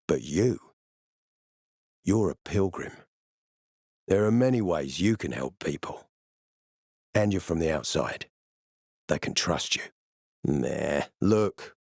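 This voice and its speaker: Deep-voiced stern military commander. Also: male voice, darkish tone, dry recording, clean studio sound, full character voice. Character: stern ambitious male military commander in a zombie apocalypse. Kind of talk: video game dialogue acting.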